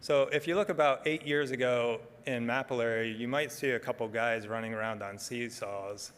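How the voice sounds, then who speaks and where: Soft-spoken middle-aged man in a hall. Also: mid-range voice, normal speed, slight background noise. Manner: soft-spoken, relaxed, frequent ums. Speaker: conference speaker. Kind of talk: conference talk with slides. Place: conference hall.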